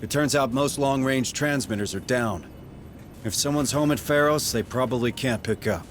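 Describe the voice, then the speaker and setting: A man playing a gruff, guarded Marine sergeant in a video game, speaking tersely and firmly. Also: medium pitch, normal speed, fairly bright tone, a little hiss or hum, close to an everyday voice. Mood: businesslike. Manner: terse, firm.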